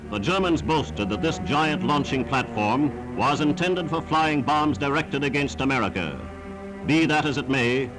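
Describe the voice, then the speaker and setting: A man's voice: mid-range voice, rough recording. A man narrating a scripted 1940s wartime newsreel in a formal, emphatic, declamatory manner, on a vintage soundtrack. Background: orchestral newsreel music.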